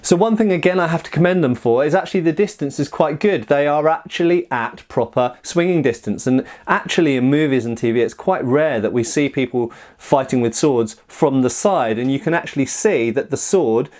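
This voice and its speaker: A man's voice: fairly high pitch, fairly quick, hardly any pauses, darkish tone. A man hosting a conversational review, explaining techniques with firm opinions and emphatic asides. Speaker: host, martial arts commentator.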